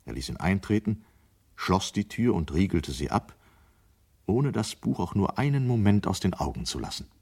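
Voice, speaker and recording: A man's voice: sits low, sing-song, moderate pace, darkish tone, slightly rough. A man narrating a radio drama in a scripted, literary storytelling style.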